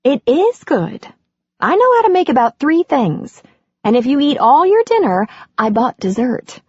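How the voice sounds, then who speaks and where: A woman voicing a warm, anxious middle-aged woman in an audiobook, talking brightly and quickly. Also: sing-song, no echo. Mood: eager. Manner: bright and eager, higher than her narration. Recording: dry, dull lo-fi audiobook recording.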